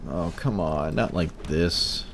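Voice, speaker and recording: Hoarse low-voiced man narrating his card game. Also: takes it slowly, slight background noise. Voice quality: breaking, cracking voice. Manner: mutters and sighs at bad luck, wry. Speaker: streamer playing a card game. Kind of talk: casual gameplay commentary.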